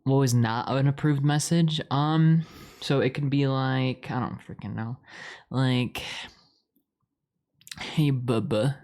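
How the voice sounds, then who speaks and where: A man's voice: mid-range voice, fairly even intonation, unhurried, darkish tone. Rambling, playful man in boyfriend roleplay.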